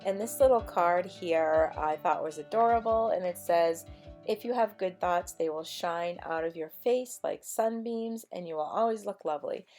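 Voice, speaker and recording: A woman with a voice she says is going hoarse, chatting casually through a scrapbooking tutorial with lots of ums. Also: on the low side, normal speed, a little mellow, soft background music. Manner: rambling, chatty, many ums. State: losing her voice. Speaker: scrapbooking vlogger. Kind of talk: casual craft tutorial chat.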